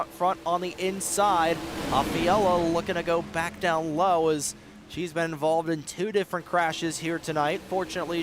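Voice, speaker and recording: A man doing play-by-play commentary for an online racing league, excitable and quick, with a slightly raspy voice. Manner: excitable, fast-moving play-by-play with sudden rises in pitch at close calls. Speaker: race commentator. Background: race car engine noise.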